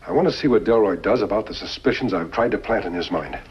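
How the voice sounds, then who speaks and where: Masked lawman in an old TV western: low, husky, calm, commanding. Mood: calm, assured. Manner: deliberate, authoritative, articulate. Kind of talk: 1950s television western acting. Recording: dull old 1950s television soundtrack.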